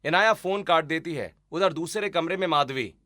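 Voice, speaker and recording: A man reading scripted story narration in an expressive, deliberate, dramatic way. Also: fairly high pitch.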